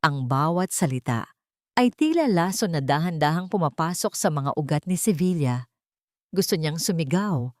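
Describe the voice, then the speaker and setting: Female narrator for dramatic audio fiction, slightly deep register, very dry studio-like sound. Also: animated, normal speed, a little mellow, no echo. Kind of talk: dramatic scripted story narration.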